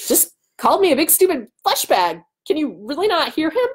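A woman, giving an animated live reading of a story in a small room at home. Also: sits high, brisk. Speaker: author and host. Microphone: webcam mic at arm's length.

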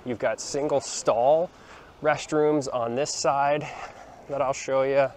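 A man in his forties walks and talks into a handheld phone outdoors, chatting through a relaxed tour with lots of ums and restarts. His voice sits at a middle pitch. Faint outdoor ambience and the odd passing car sit behind him. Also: slow pace, a little hiss or hum. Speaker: vlogger showing a beach town. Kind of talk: casual walking tour talk to camera. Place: outdoors, sunny beachfront plaza and beach. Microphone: phone held at arm's length, small clip-on mic.

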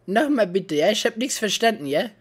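A woman's voice: mid-range voice, very animated, a little fast, slightly rough. Young female streamer, prank caller, put-on broken German with a fake accent, desk mic at home. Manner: put-on broken German with a mock foreign accent, ends lines with "ja?". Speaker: streamer prank-calling strangers. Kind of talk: prank chat calls. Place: small room at home with coloured lights. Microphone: studio mic and headset at a desk.

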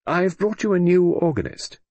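Nervous gentleman bridegroom, read by a male narrator; polite, raised a little, husky. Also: high voice, very animated, normal speed, no echo, pitched above the performer's own voice. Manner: polite, earnest, a little anxious. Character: nervous middle-aged bridegroom, diplomat, polite.

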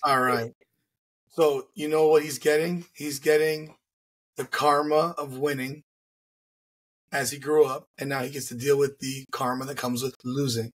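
A man in his thirties hosts a sports podcast from a room at home, his voice husky and worn from a recent flu. He speaks in a measured, teasing way. He comes through a headset on a video call. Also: medium pitch, level tone, poor audio. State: hoarse, getting over the flu. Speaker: podcast host. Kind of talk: casual sports chat.

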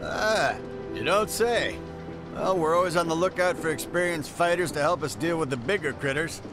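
A man's voice: on the high side, lively intonation, moderate pace, close to an everyday voice. An actor playing an easygoing neighborhood watchman in a video game, casual and wry with an American accent, over music. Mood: friendly, wry. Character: easygoing neighborhood watch man sizing up a recruit. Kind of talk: video game cutscene acting.

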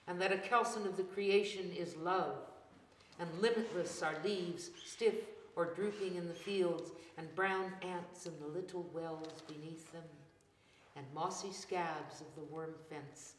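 A woman in her seventies reads verse phrase by phrase in a slightly low voice. The room is echoey, with faint noise behind her. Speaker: poet reading at a public reading. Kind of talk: poetry recitation. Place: indoors in a church hall.

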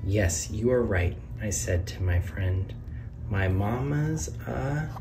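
A man's voice: lowish voice, animated, slow pace, recorded from a distance, over music. A man reading a children's picture book aloud in a sing-song way, lifting his voice on each question and reveal. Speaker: read-aloud narrator. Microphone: camera over the book, hands on pages.

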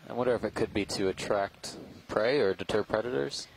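A man giving live scientific commentary on a deep-sea dive. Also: brisk, creaky, breathy edge, rough recording. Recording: faint background noise.

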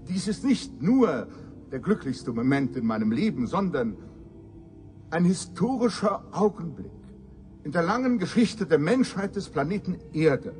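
An actor in a German radio drama playing an eloquent, pompous professor with formal, theatrical delivery. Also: male voice, a little high, sing-song, a little slow, a little mellow, faint music behind. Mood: awed, solemn. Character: eloquent, pompous male professor of extraterrestrial research.

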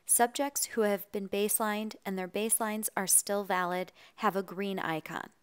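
A woman with a smooth, clear voice reads a scripted tutorial voice-over. Her delivery is even, measured and instructional, in a mid-range pitch, recorded close on a studio mic. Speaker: tutorial narrator.

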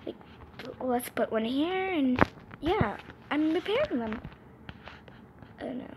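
A woman's voice: sits high, lively intonation, takes it slowly. A young woman improvising role-play narration over a video game in a playful sing-song manner, recorded on a phone microphone. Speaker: host of a gaming channel. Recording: noisy amateur phone screen recording.

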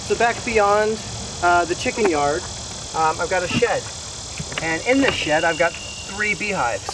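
A man in his thirties guides an outdoor tour in a casual, explanatory way, with pauses as he thinks. His voice sits a little high. He is some way from the camera's mic, with clear outdoor noise and insects around him. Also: lively intonation. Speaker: host giving a garden tour. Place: outdoors in a wooded backyard garden. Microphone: camera mic several meters away. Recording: distant camera mic, noisy outdoor ambience.

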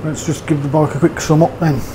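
A man's voice: fairly high pitch, little pitch movement, brisk, background noise. A man giving a casual, rambling motorbike review, with fillers and hesitations. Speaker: motovlogger.

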